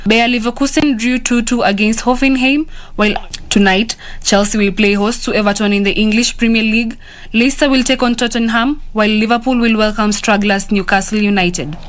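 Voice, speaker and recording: A woman reading football results in an even, methodical way. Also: medium pitch, fairly quick, a little hiss or hum. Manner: even, methodical listing of scores. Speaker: sports news reader.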